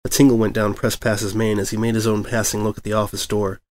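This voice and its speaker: A man's voice: lowish voice, little pitch movement, quick pace. A man reading a story aloud as narrator in a scripted storytelling style. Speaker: fan fiction reader, narrator.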